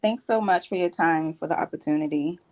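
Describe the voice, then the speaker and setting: A woman's voice: on the low side, a little fast, a little mellow, no room sound. A woman auditioning as a performer, chatting casually and politely in short replies.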